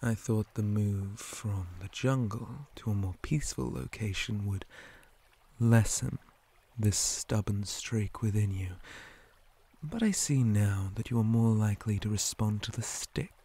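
A silkily cruel male spider creature, acted by a man in an ASMR roleplay. The voice is mellow and husky, often sinking to a whisper. It moves very slowly and deliberately, with formal, taunting menace and a possessive edge. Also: fairly low pitch. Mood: cold, possessive. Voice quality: breathy, often dropping to a whisper. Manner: slow, deliberate, softly taunting, formal wording.

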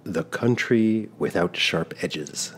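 A man reading a storybook aloud, voicing a smiling male town constable who speaks with great courtesy and gentle insistence. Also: lowish voice, very animated, normal speed, a little hiss or hum. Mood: courteous.

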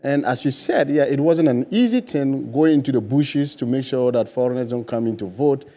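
A Ghanaian man in his forties talks earnestly in a mid-range voice, pausing on fillers and restarting his sentences. He is a guest on a lapel mic in a bright TV studio. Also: mid-range voice. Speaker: political candidate guest. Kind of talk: studio interview answers.

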